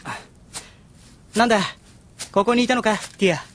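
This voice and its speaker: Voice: medium pitch, fairly even intonation, normal speed, a bit bright, slight background noise, lightly acted. An actor voicing a candid teenage boy hero in an anime audio drama, casual and blunt. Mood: casual, curious. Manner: casual, blunt boyish speech. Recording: music behind the voice.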